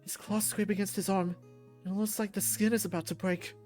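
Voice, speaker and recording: High-voiced man narrating a story. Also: level tone, a little fast, a little mellow, soft background music. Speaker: narrator reading a visual novel aloud.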